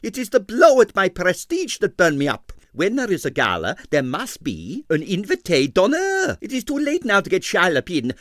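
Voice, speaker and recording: A man voicing a gloomy, excitable ballet impresario in a storybook, theatrical and mournful in broken English. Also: high voice, moderate pace, hardly any pauses, no room sound, studio quality, voice pushed up, exaggerated voice. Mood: despairing.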